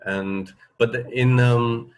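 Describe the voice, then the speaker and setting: A man in his forties chatting casually as a radio co-host, explaining things in a halting, rambling way that often trails off mid-thought. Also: medium pitch, fairly even intonation, clear, resonant tone. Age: 40s. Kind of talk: casual unscripted chat, explaining.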